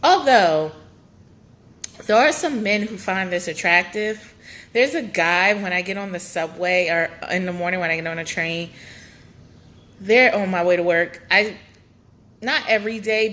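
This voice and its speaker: A woman's voice: on the low side, a bit bright. A young woman vlogging a casual pregnancy update, chatty and rambling with many fillers, her nose stuffed up.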